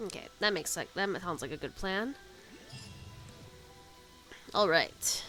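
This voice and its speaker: A woman's voice: lowish voice, expressive, slow pace, sharp tone, faint music behind. A woman giving casual commentary as she plays a video game and reacts to its story. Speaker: gamer reacting to a story quest.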